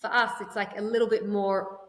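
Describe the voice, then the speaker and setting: A woman speaks as a podcast guest, chatty and rambling, often trailing into "like" and starting sentences over. Her voice sits at an ordinary middle pitch. The room around her is echoey, with a long reverb tail. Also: level tone, a little fast. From Australia. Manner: chatty and rambling, with frequent "like" fillers and restarts. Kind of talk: conversational interview.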